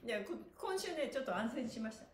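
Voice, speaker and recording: A woman's voice: a little high, sing-song, fast, a little hiss or hum. A middle-aged woman leading a live-streamed stretching lesson, giving cheerful, encouraging, polite instructions and counted chants. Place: small bare-walled room indoors. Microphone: camera a few feet away. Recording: roomy echo from camera distance.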